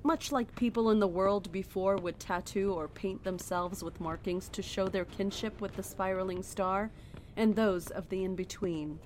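An actress playing a serene, fanatical cult leader in a horror audio drama, speaking in a measured, solemn, preaching way. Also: female voice, medium pitch, darkish tone, slight background noise, close to an everyday voice. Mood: calm zeal.

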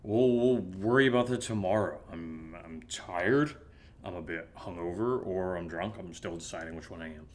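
A man plays a blasé, hungover housemate in an audio drama. His voice sits fairly low, and he rambles hesitantly with fatalistic, offhand humor. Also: normal speed, natural voice. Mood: tired, tipsy. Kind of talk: naturalistic audio drama dialogue.

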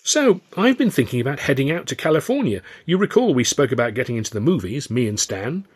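A man's voice: mid-range voice, very animated, fast, breathy edge, voice pushed up. Man voicing a wry, needling English vaudevillian. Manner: wry, pointed.

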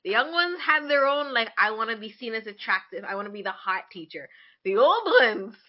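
A woman in her twenties with a highish, very expressive voice chats as a podcast co-host. She is candid and informal, telling anecdotes as if to a close friend. The audio is slightly dull and lo-fi. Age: 20s. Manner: candid, informal, swearing, quick to laugh. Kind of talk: casual chat with a friend, swapping anecdotes.

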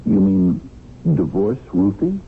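An actor playing a flustered small-time lawyer in a 1970s radio mystery, speaking haltingly and stammering. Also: male voice, deep voice, sing-song, heavily acted. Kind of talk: 1970s radio drama acting. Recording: muffled phone-like old radio broadcast, hiss.

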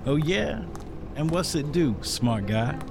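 An actor playing a gruff, skeptical wise guy with blunt, slangy speech in a pulp audio drama. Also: fairly high pitch, lively intonation, takes it slowly, music in the background. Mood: skeptical, needling.

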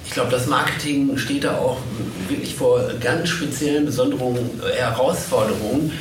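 A male interviewer with a medium-pitched voice talks in long reflective sentences at a live panel. The room is echoey and he sounds far from the mic, with clear background noise. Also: fairly even intonation, normal speed. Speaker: podcast host and interviewer. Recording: echoey room, distant, noisy.

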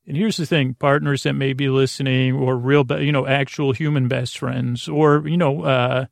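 An American man with a heavily creaky, gravelly voice hosts a bedtime podcast. He meanders through his monologue, stumbling over words and letting thoughts trail off. The sound is dry, with no room around it. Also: medium pitch, level tone, normal speed, no echo. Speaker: podcast host. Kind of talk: rambling bedtime monologue to help listeners sleep.

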